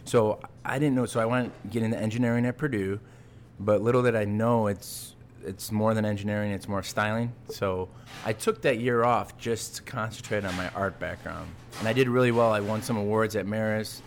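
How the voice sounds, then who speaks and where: Animated man telling his story, low voice. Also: moderate pace, a little hiss or hum. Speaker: podcast guest. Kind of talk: casual podcast chat. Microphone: desk microphone.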